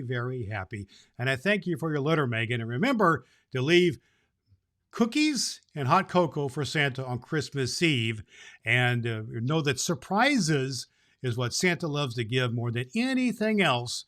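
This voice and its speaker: A man playing Santa Claus, a jolly old man who reads storybook tales to children and hosts the show in an animated manner. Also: unhurried. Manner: jolly, animated, booming ho-ho laughs. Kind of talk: storybook reading and address to children.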